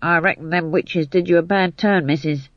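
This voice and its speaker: A man's voice: a little high, fairly even intonation, moderate pace, no echo, lightly acted. A man reading an audiobook, voicing a homely, folksy male dwarf. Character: gruff rustic male dwarf. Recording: dull band-limited audio.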